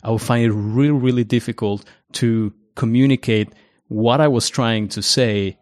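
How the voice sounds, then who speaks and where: A man's voice: medium pitch, normal speed. A man from Mexico whose first language is Spanish, speaking English in a mid-range voice. He tells anecdotes at an easy pace, full of "like" and "um". The sound is dry and close, with little room echo. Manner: relaxed, anecdotal, many fillers. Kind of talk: casual discussion.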